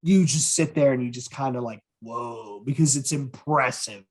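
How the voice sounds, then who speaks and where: A man's voice: mid-range voice, steady tone, fairly quick, husky. A man co-hosting a podcast in casual, profane, enthusiastic chat about what he is a fan of. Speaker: podcast co-host.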